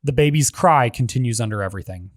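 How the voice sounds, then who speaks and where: A man reads out screenplay action lines in a measured, even delivery at a middle pitch. The recording is dry and close, with almost no room sound. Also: no room sound. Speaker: narrator reading stage directions. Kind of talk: scripted narration of a screenplay.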